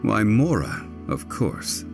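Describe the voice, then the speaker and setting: Deep-voiced, composed scholarly gentleman. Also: very animated, vocal fry, full character voice. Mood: composed, knowing. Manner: measured, formal, didactic. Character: composed, learned gentleman, calm and knowing. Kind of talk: video game character acting. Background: game music.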